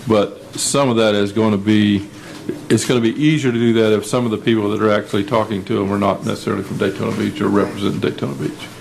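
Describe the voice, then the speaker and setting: A man's voice: on the low side, fairly even intonation, fast, creaky, hardly any pauses, a little mellow, a little hiss or hum. A man at a city commission meeting, clarifying the reasoning behind a plan. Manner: clarifying, with frequent restarts. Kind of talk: explaining at a public meeting.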